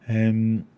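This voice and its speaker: Low-voiced Scottish man chatting on a livestream. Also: gravelly, slightly rough. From Glasgow, Scotland. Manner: rambling, self-deprecating, thinks aloud. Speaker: aquarium livestream host. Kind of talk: casual livestream chat reading comments.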